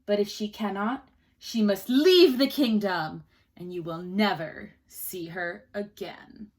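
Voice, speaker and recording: A woman voicing a greedy, harsh fairy-tale king in a storybook read-aloud, speaking in slow, commanding threats. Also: mid-range voice. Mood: stern, threatening.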